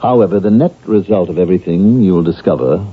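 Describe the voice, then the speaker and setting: A man's voice: low-pitched, a little fast, slightly rough. A man acting in a vintage radio drama as a weary, defiant accused killer and psychiatric patient, emotional and evasive. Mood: bitter, defensive. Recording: muffled phone-like old radio recording.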